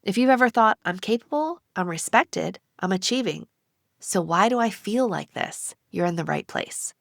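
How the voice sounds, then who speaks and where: Female podcast host, mid-range voice, dry close recording, measured and deliberate. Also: medium pitch, lively intonation, gravelly, dry recording. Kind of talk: scripted intro then interview questions.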